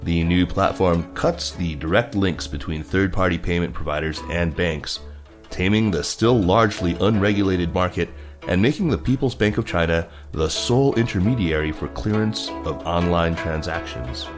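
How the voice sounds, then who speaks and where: Very low-voiced man reading news over guitar. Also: moderate pace. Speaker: podcast host. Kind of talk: scripted business news reading. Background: plucked guitar music.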